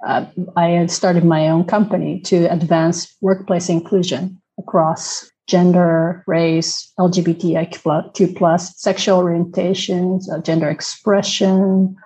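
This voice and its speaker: A woman from Japan whose first language is Japanese, answering interview questions in a hesitant way with many pauses and restarts. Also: lowish voice, little pitch movement, dark tone, husky, poor audio. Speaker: podcast guest.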